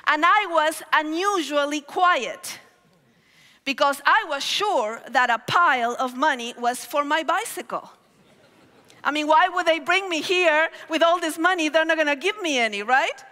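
A middle-aged woman preaches on a big hall stage through a headset mic. Her voice is high and bright, her storytelling animated. The long reverb of the hall trails each phrase. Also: measured pace. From Argentina. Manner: animated storytelling with gestures, calls on the crowd. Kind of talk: sermon. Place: large hall stage with audience.

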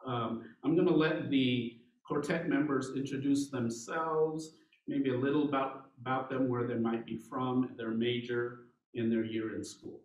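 A middle-aged man, a clarinet professor, introducing a masterclass in a relaxed, halting way with small restarts. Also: medium pitch. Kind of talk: informal spoken introduction. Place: large recital room, indoors. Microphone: far camera mic. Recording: echoey room from a distance.

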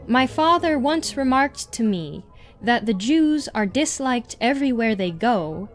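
Female reader, fairly high voice, very slow deliberate article narration over clear background music. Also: lively intonation. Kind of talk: reading an article aloud.

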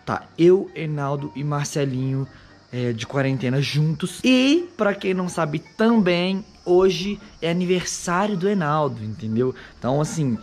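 A hoarse young man talks straight to his viewers, fairly high-pitched and chatty. He holds an action camera at arm's length outdoors on a patio, with faint music under his voice. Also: lively intonation, measured pace, darkish tone. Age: young adult. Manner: chatty, addresses viewers directly. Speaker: vlogger. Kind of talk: casual vlog chat to camera. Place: outdoor patio, then a house kitchen. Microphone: handheld action camera at arm's length.

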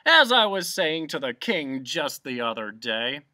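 Casting: pompous old storybook humbug bug, acted by a narrator, boastful, forceful, pitched up and wildly animated. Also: a little slow, no echo, studio quality. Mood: blustering. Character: blustering pompous old humbug bug, self-important fraud.

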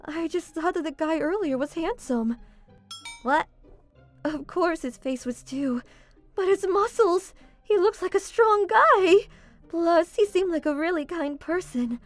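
A woman's voice: extremely high pitch, animated, a little slow, faint music behind. A woman playing a sweet, caring and easily flustered teenage schoolgirl in a manga dub, cutesy and stammering. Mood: lovestruck. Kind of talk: manga dub voice acting, scripted.

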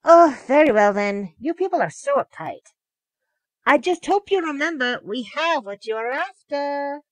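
Man putting on a high, drawling smug rival. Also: animated, measured pace, no room sound. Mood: haughty disdain. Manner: drawling, mocking, theatrical. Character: smug, mocking male racing rival with sneering laugh. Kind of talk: acted character in narrated fan story.

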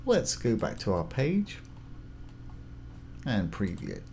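A man hosting a casual step-by-step software tutorial, informally talking through each action as he goes. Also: on the low side, huge pitch swings, takes it slowly. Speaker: tutorial host. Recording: clear background noise, keyboard typing.

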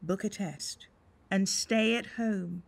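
A woman's voice: mid-range voice, slow pace, vocal fry. An actress playing a bossy but concerned woman in a radio soap, firm and no-nonsense.

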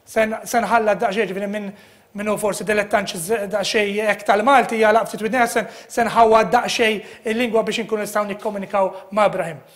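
A man's voice: high-pitched, steady tone, fast talker. Maltese man hosting a studio interview. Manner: switches between Maltese and simple English, repeats the guest's answers. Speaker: TV current affairs host.

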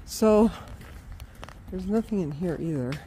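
A woman's voice: fairly low pitch, expressive, measured pace, mellow, a little hiss or hum, low-quality recording. A woman walking an outdoor wooded trail and filming with a handheld phone, talking casually and exclaiming often, out of breath from the climb. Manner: chatty, exclaims often, laughs. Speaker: vlogger.

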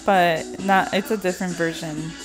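A woman's voice: fairly low pitch, fairly even intonation, moderate pace, poor audio. A young woman vlogging in a casual, laid-back way, laughing often and slipping in Spanish words. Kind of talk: casual vlog chat. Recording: phone vlog with loud music over it.

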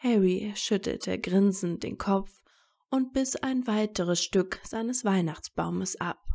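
A woman narrating scripted fan fiction as a story, in a measured storytelling manner. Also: medium pitch, vocal fry, dark tone.

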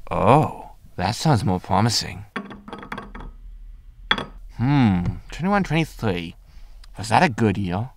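A man playing a greedy, hapless spaceship scavenger who mutters excitably to himself in a sci-fi comedy audio drama. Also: on the low side, slow pace, gravelly, long pauses, very noisy, heavily acted. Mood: musing. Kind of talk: science fiction comedy audio drama acting.

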